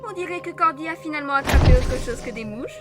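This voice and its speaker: Woman as a high, taunting cartoon monster bully. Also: lively intonation, quick pace, loud music behind the voice. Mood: mocking. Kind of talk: cartoon fan dub acting.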